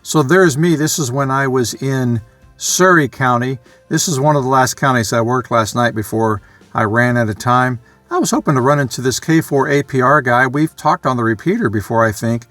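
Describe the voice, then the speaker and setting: A man's voice: medium pitch, moderate pace, a bit bright, no echo, faint music behind. A man giving a casual, unscripted vlog talk as a hobbyist, rambling and matter-of-fact as he thinks aloud. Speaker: vlogger, amateur radio hobbyist.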